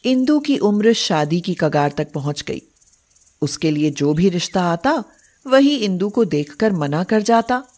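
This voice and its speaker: A woman's voice: on the low side, lively intonation, measured pace, darkish tone. A woman reading scripted story narration as a storyteller.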